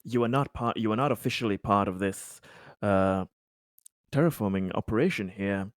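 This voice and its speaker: A man playing a stern colony leader in a tabletop game, questioning a prisoner in a formal, halting, threatening manner. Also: lowish voice, no room sound, clean studio sound, natural voice. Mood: stern, accusing.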